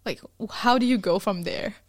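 A woman's voice: mid-range voice, no echo. A woman hosting a podcast, asking questions in an encouraging, easygoing way and laughing along. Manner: slow, encouraging, quick to laugh. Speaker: podcast host. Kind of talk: casual interview chat.

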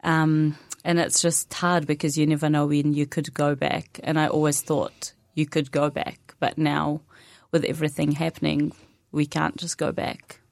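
Palestinian woman, native arabic speaker, young adult, low voice, soft-spoken, many pauses, dry studio sound. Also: level tone, gravelly, no echo. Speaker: interview guest. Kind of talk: radio interview conversation.

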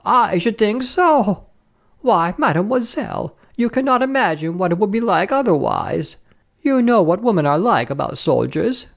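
A woman's voice: medium pitch, very animated, normal speed, vocal fry, pitched above the performer's own voice. A woman voicing a prim, nosy, smugly triumphant Frenchwoman from a story, with an insinuating, emphatic delivery. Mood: scornful relish.

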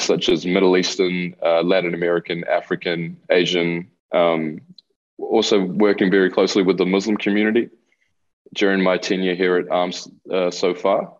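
Deep-voiced young New Zealand man on a video call. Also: muffled recording. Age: 20s. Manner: earnest, listing points, reads prepared remarks. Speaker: guest, youth mentor. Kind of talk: remote interview, explaining his organization.

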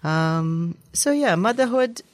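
A woman's voice: on the low side, expressive, slow, no echo. Reflective Kenyan-born woman, dry sound. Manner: warm reflective storytelling with frequent ums. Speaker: interview guest. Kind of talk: casual conversational interview.

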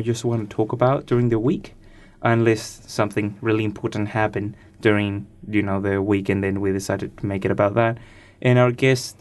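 A nineteen-year-old man from Chile giving casual, thoughtful interview answers as a radio guest, pausing hesitantly as he goes. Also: medium pitch, a little mellow, smooth.

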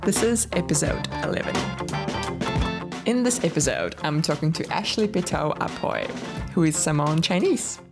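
A woman's voice: low-pitched, big pitch swings, normal speed, over music. A woman hosting an interview podcast from home, enthusiastic and encouraging. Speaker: podcast host.

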